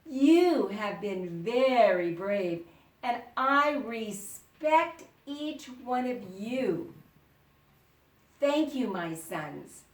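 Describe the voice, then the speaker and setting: A woman voicing a grateful, dignified father in a children's folktale, in a measured, solemn way. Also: fairly high pitch, animated. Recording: roomy echo, distant microphone.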